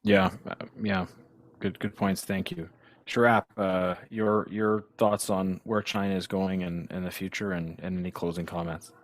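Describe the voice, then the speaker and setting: A man with a very deep voice hosts an interview podcast. He affirms his guests and asks follow-up questions, and his voice sounds dry and close. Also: little pitch movement, moderate pace, no room sound. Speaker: podcast host. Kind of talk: hosting an interview.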